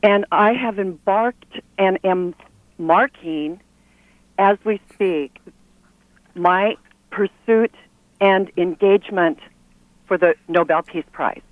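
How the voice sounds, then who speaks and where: An older woman speaks as a phone-in caller in a halting, declamatory way. Her voice comes through a dull, narrow phone line, very dry, with emphasis placed on her phrases. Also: medium pitch, dry recording. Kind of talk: live call to a TV phone-in.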